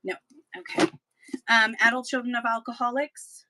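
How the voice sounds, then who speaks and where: A woman selling books in a chatty live-stream auction, her voice getting hoarse, with playful sing-song asides. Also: a little high, fairly even intonation, moderate pace, pushed and bright, low-quality recording.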